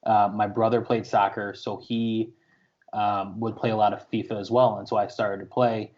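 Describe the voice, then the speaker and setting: A man on a podcast rambles through a story, filling gaps with ums and likes. His voice sits a little low. The sound is somewhat lo-fi, like a home podcast setup. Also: flat delivery, moderate pace, a little mellow. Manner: chatty storytelling with many ums. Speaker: podcast co-host. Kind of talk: casual podcast chat. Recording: slightly lo-fi podcast audio.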